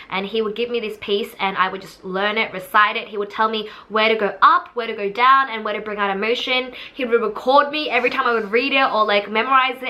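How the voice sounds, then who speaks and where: A young woman talks quickly, her voice bright and forceful. She chats candidly and casually, using lots of fillers as she tells her story. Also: medium pitch. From Australia. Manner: chatty, filler-heavy, candid. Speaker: vlogger telling her audition story.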